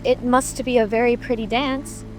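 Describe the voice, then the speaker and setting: A woman's voice: fairly high pitch, fairly even intonation, normal speed, background noise, close to an everyday voice. A woman playing a curious, polite young girl in a dramatic audiobook, eager and a little timid. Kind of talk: full-cast dramatic audiobook reading. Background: rain ambience and faint music.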